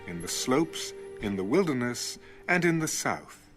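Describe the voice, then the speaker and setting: A man's voice: mid-range voice, sing-song, unhurried, fairly bright tone. A man narrating scripture in a dramatic, scripted style as an audio Bible reader. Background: music.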